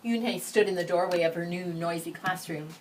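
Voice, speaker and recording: A slightly low-voiced woman reads a picture book aloud in an expressive storytelling style. She sits back from the microphone, with faint noise around her. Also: a little fast. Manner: expressive, acts out the dialogue. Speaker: story reader. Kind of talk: read-aloud of a children's picture book with brief asides. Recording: distant microphone, background noise.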